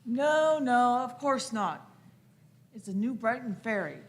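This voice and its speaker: A woman playing a police detective inspector in a radio play. Her voice is high. She is sceptical and sarcastic, with dry, probing questions. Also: animated, slow. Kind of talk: live radio-play acting.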